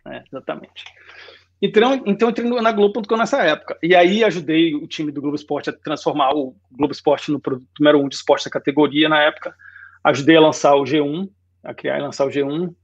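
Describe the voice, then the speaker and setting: Um homem na casa dos quarenta com sotaque baiano misturado com carioca, contando sua trajetória numa conversa descontraída, com muitos "né?". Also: male voice, a little high, fast, husky, muffled recording. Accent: Bahian Portuguese mixed with Rio. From Salvador, Bahia, Brazil. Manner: relaxed storytelling, frequent "né?". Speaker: guest designer. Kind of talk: casual interview chat.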